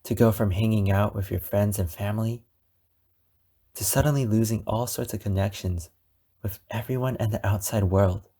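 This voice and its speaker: A man playing an obsessive, wounded, possessive captor in an ASMR roleplay, speaking deliberately with dramatic pauses. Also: fairly low pitch, steady tone, dark tone. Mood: calm, coaxing. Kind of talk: ASMR roleplay monologue addressed to the listener.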